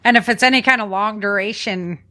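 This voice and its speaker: Woman podcast host, bright forward voice, chatty and informal, just talking shop. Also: medium pitch, fairly quick. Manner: chatty, informal, plain-spoken. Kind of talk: casual chat about survival tips.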